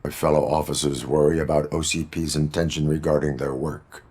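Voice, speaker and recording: Super low robot cop from a video game, deadpan, formal, clipped, totally dutiful. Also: male voice, brisk. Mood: stoic, dutiful. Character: stoic male cyborg police officer, dutiful and literal. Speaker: police officer. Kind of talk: video game dialogue acting.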